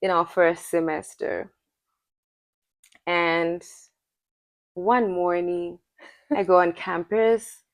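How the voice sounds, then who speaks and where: A woman's voice: lowish voice, steady tone, very slow, pauses often, no room sound. A young woman from Zimbabwe co-hosting a podcast, chatting casually and telling a story with lots of laughter. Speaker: podcast co-host. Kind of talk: casual chat.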